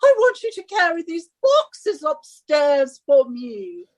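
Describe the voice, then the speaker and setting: Woman acting a shrill, high-pitched bossy wife. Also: wildly swooping pitch, slow pace, exaggerated voice. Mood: bossy, scolding. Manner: imperious, scolding, exacting. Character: bossy, scornful, henpecking British middle-aged wife. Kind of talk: radio suspense drama acting.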